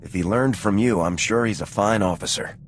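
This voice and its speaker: A man playing a composed male squad commander with a calm, dry manner in a video game. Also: on the low side, a little fast, a little hiss or hum, natural voice. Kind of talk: video game cutscene acting.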